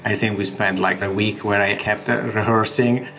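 Gravelly Italian-accented man. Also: lowish voice, steady tone, normal speed, fairly bright tone, soft background music. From Italy. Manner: explanatory, works through numbers step by step. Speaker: startup founder. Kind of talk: recorded interview.

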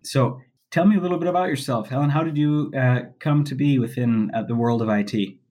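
A man's voice: mid-range voice, brisk, darkish tone. Friendly male podcast host. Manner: friendly, with fillers. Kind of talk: interview hosting.

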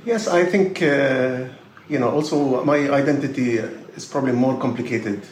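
An Arabic-speaking man from Israel, a podcast guest who talks thoughtfully and haltingly with many fillers. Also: medium pitch, darkish tone, reverberant, slight background noise. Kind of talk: podcast interview.

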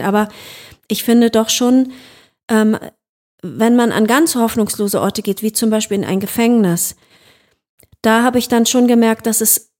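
Thoughtful woman, fairly high voice. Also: a little mellow. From Germany. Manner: thoughtful, searching for words, frequent fillers and restarts. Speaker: musician, podcast guest. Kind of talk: reflective interview talk.

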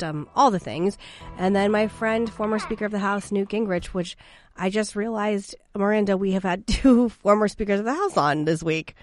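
A woman in her thirties hosting a podcast in a studio, chatty and gushing, still sick with a lingering cough. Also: mid-range voice. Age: 30s. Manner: chatty, laughs often, warm and gushing toward her friend. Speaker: podcast host. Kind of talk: casual chat. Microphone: studio mic.

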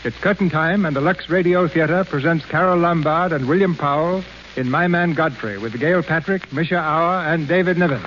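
A man's voice: on the high side, normal speed, highs cut off, background noise. A man presenting a radio play as host and announcer, reading scripted narration in a formal, florid 1930s style. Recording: band-limited 1930s radio broadcast.

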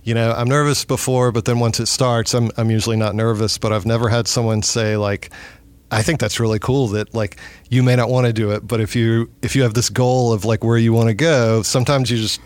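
A man hosting a relaxed podcast chat. He talks in loose, rambling sentences, restarts often and fills gaps with "um" and "like." His pitch sits at a mid level for a man. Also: brisk. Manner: chatty, rambling, many fillers and restarts. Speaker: podcast host. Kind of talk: casual podcast interview.

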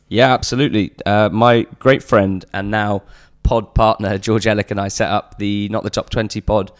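A man's voice: on the low side, little pitch movement, moderate pace. An English man guesting on a football podcast, chatting earnestly with lots of fillers and restarts. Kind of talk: casual podcast chat.

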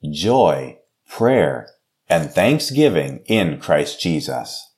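An American man speaking as a pastor in a measured, solemn and formal devotional style. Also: rumbling low, very animated. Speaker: pastor and podcast host. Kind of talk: devotional scripture reading, short sermon and prayer.

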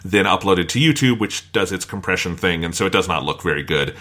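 A man's voice: deep voice, lively intonation, a little fast, pushed and bright. A man hosting a casual podcast discussion, describing and explaining as he goes. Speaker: podcast host.

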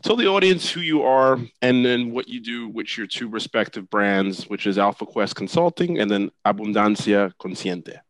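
A man's voice: lowish voice, lively intonation, normal speed, few pauses, dry recording, poor audio. A man hosting an interview show, talking with his guest. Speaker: podcast host.

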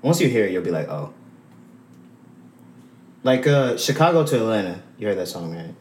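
A young man, a rapper, chatting casually with a relaxed, sit-back delivery. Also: on the low side, moderate pace, roomy sound, slight background noise. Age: young adult. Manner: laid-back delivery that sits back. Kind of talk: casual chat. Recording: music clearly playing behind.

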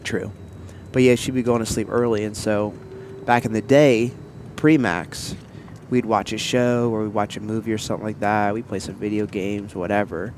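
A man co-hosting a casual couple's podcast, chatting in a relaxed, playful way, with sniffles from allergies. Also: normal speed, a little hiss or hum. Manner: laid-back, playful, jumps up in pitch when excited. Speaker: podcast co-host.

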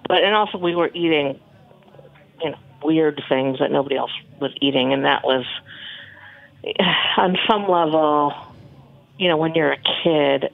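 A chef from Michigan talking casually as an interview guest, relaxed and rambling, with many ums and restarts. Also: mid-range voice, unhurried, gravelly, pauses often, no echo. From Michigan, USA. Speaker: chef and cookbook author, guest. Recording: tinny phone line, dry.

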